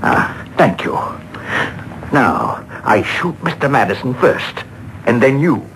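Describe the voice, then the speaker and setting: A smug, animated radio-drama killer. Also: male voice, mid-range voice, gravelly, heavily acted, low-quality recording. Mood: smug, gloating. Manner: deliberate, taunting. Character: smug, menacing armed male killer, coolly gloating. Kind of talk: 1940s radio drama acting. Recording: home-taped old radio broadcast, faint noise.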